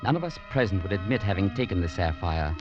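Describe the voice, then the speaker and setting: An earnest young gentleman tells his story in a measured, low voice. An old radio play with clear music underneath. The recording sounds lo-fi and dated. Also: animated. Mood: grave. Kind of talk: 1940s radio acting.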